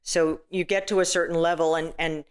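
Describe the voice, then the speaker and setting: Chatty woman with a booming voice. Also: little pitch movement, fairly quick, smooth. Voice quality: booming stage-trained voice. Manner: chatty, many "you know"s and restarts. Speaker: filmmaker and actress guest. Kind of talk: casual interview chat.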